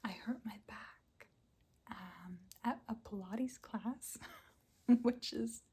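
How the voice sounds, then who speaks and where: A woman hosting an ASMR channel, giving a life update in a soft, unvoiced whisper with small quiet laughs. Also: a little high, takes it slowly, husky.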